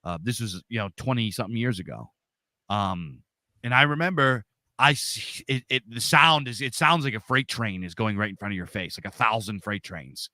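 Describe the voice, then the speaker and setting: Loud guy, 40s, big voice, dry close sound, just chatting as a guest. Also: on the low side, moderate pace, no room sound. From Pennsylvania, USA. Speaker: interview guest. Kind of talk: casual storytelling.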